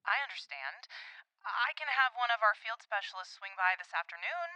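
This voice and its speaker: A woman playing a busy, brisk hotline dispatcher, heard through a telephone filter in an audio drama. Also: medium pitch, lively intonation, bright, forceful tone, no room sound, low-quality recording. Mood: harried, businesslike.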